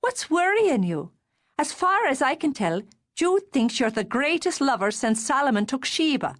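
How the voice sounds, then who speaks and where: A mischievous young woman with a bright, bubbly voice that shoots up high, performed by a woman reading an audiobook. Her tone leaps around with delight and a quick temper. The pitch swings wide from phrase to phrase. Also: very animated. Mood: excited. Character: teasing, lively young Irish woman, a sister. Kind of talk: audiobook character voice.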